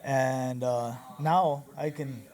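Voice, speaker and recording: A man's voice: medium pitch, fairly even intonation, very slow, a little mellow. A young man from northern Mexico whose first language is Spanish, rambling in a casual interview with many restarts and calling the host dude and bro. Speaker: barbershop founder, guest. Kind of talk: casual conversation. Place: barbershop lounge.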